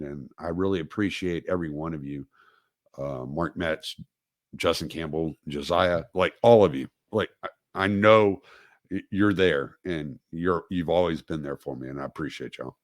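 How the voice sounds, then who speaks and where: A man hosting a casual livestream, talking in a halting, rambling way with many fillers and restarts. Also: a bass voice, dry recording, studio quality. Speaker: podcast host.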